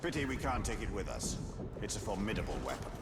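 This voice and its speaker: An actor playing a stern, strategic male warrior in a fantasy video game, speaking in a formal, grave, measured way. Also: lowish voice, very animated, very noisy. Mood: wry, urgent. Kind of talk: dramatic fantasy video game cutscene acting. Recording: loud orchestral game music behind.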